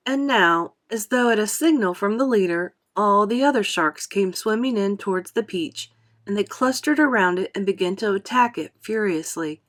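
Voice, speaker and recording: A woman reading a children's novel aloud as an expressive classroom storyteller. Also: medium pitch, normal speed. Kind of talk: expressive children's audiobook narration.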